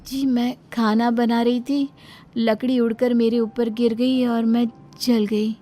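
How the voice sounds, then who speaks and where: A gentle, long-suffering young wife from a cartoon story, as the narrator puts her on. Her voice goes high and earnest. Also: level tone, slow pace, slight background noise.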